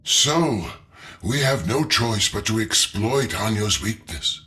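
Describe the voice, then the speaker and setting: A principled game leader with a lowish, bright voice. He briefs his allies formally and persuasively, with lively shifts of pitch. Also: slow pace, heavily acted. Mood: earnest, urgent. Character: earnest, principled male leader rallying allies. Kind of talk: video game dialogue, mission briefing.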